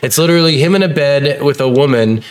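A man's voice: medium pitch, fast. Swearing man rambling about old games. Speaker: podcast co-host. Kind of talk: casual gaming podcast chat.

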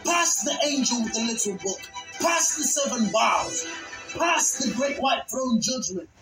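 Slow, solemn preacher in echoing sound. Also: medium pitch, animated. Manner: solemn, emphatic, deliberate phrasing. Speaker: Bible teacher and narrator. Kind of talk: dramatized scripture reading and sermon. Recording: echoey voice over background music.

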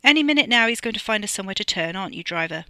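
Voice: medium pitch, lively intonation, rapid-fire, bright, forceful tone, no room sound, studio quality, pitched above the performer's own voice. A narrator voicing an overbearing, confident upper-class young man who gives orders in an audio story. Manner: bossy, commanding.

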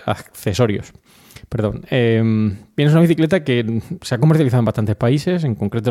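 A man with a soft, dark-toned voice and a Castilian accent from Spain. His pitch sits in the middle of the male range. He hosts a podcast alone, chatting informally and explaining as he addresses the listeners directly. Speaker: podcast host. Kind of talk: informal solo podcast talk.

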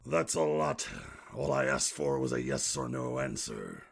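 An actor playing a gruff, sardonic, easily exasperated man in an audio drama, with a raspy, gravelly voice. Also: extremely low pitch, wildly swooping pitch, measured pace, exaggerated voice. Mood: exasperated disbelief.

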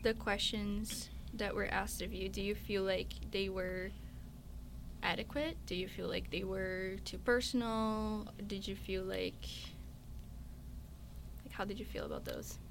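A host from Brazil leading a casual interview chat, soft-spoken and gentle with her questions, laughing often. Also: mid-range voice, measured pace, vocal fry, a little hiss or hum. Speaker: podcast host.

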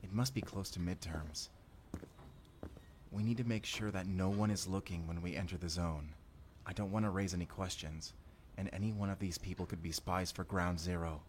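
A man's voice: low-pitched, fairly even intonation, normal speed, a little hiss or hum, lightly acted. A man playing a young, friendly time traveler in an audio roleplay, talking casually and intimately straight to the listener. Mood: casual, cautious. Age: young adult. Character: friendly, chatty male time traveler, college-aged-looking, eager and cautious. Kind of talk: first-person immersive audio roleplay acting.

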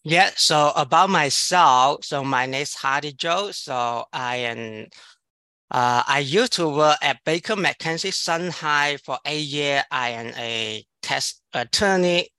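A man's voice: a little high, takes it slowly, pushed and bright, clear, resonant tone, dry recording. A man co-chairing a committee, moderating an online interview in a hesitant way, full of "like" and "kind of". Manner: hesitant, many "like" and "kind of" fillers.

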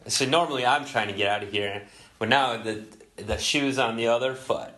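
A man's voice: mid-range voice, normal speed, pushed and bright, close to an everyday voice. A man playing a cocky, slippery little sidekick in improvised comedy banter, smug and needling but hesitant.

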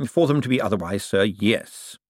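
A man's voice: fairly low pitch, lively intonation, moderate pace, no echo, clean studio sound. A man voicing a deferential, joyless senior bank clerk in an audiobook, formal, hesitant and guarded. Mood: guarded, polite. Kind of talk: audiobook character dialogue.

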